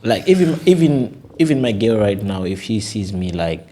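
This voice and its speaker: A young man with a very low voice talks through his story in a casual podcast conversation. He sounds earnest and animated, and he keeps checking in with "you know" and "you get it". A faint hum of noise sits under his voice. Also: moderate pace.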